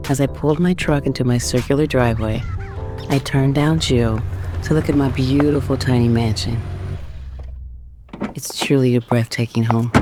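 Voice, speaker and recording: A newly independent wife voiced by an actress tells her own story in a very deep voice. Her delivery is measured and emphatic, with music playing behind. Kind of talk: first-person audio drama narration.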